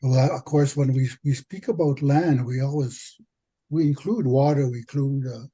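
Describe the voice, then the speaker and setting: A man with a husky voice answers on a webinar panel over a video call. His tone is very dark and mellow, and he speaks slowly and softly, pausing often as he works through his thoughts. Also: medium pitch. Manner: slow, halting, soft-spoken. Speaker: knowledge keeper on a panel.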